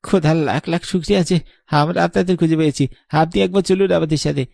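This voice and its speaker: A man's voice: on the high side, fairly even intonation, fast, creaky, a little mellow, no echo, studio quality. A man voicing an earnest, anxious but determined young madrasa student in a horror cartoon.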